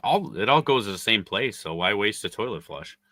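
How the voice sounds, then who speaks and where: Male co-host, low but very bright voice, easygoing and hesitant. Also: very animated, brisk, no echo, clean studio sound. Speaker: podcast co-host. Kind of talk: casual sports podcast chat.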